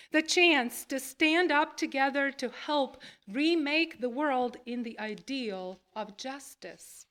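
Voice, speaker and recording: A woman minister with a high voice leads worship. She takes her time, speaking very slowly and setting out each phrase deliberately as she addresses the congregation. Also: fairly bright tone. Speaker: minister leading a church service. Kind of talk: worship address, part spoken, part read aloud.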